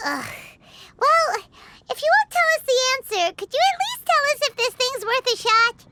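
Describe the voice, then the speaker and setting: A woman voicing a tiny, cheeky floating fairy companion with a squeaky, childlike voice and a brash manner in an anime game. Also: very animated, normal speed, very noisy, exaggerated voice. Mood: exasperated. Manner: brash, third-person self-reference, teasing. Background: background music.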